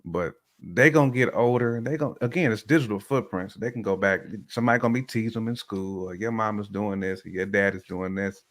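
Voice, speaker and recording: Low-voiced man chatting casually. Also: fairly quick, few pauses, a bit bright. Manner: colloquial, slangy phrasing. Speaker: guest on a relationship talk show. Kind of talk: casual opinionated chat.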